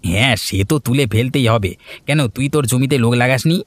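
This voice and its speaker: A man's voice: mid-range voice, fast, no echo, natural voice. A man voicing a friendly, eager village man in a cartoon, chatty and helpful. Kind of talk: cartoon voice acting.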